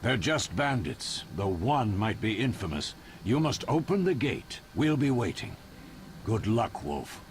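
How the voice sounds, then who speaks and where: A man's voice: fairly low pitch, big pitch swings, a little slow, a little hiss or hum. An actor playing an older male witcher leader in a video game, giving clipped, authoritative orders. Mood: grave, assured. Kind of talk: video game cut-scene acting.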